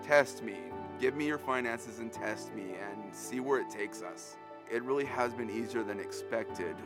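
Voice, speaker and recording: A man's voice: medium pitch, a little slow, over music. A man giving a candid church testimony in a conversational way. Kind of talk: testimony interview.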